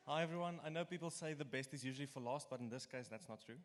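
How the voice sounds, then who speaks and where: A man's voice: medium pitch, fast talker. A young man with an Afrikaans accent, chatty and self-deprecating, addressing first-year students in a lecture hall. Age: young adult. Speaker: student committee marketing lead. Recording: reverberant hall with faint background noise.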